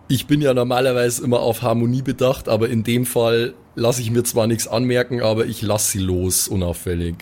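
A man's voice: medium pitch, fairly even intonation, a little fast, hardly any pauses, darkish tone. A man playing a tabletop game, describing his moves casually and hesitantly, repeating his words. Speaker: tabletop player. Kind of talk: casual improvised game talk.

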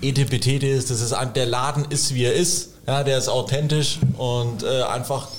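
A man's voice: medium pitch, fairly even intonation, normal speed. A man joining a casual chat as a co-host, speaking in an easygoing way. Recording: faint background noise.

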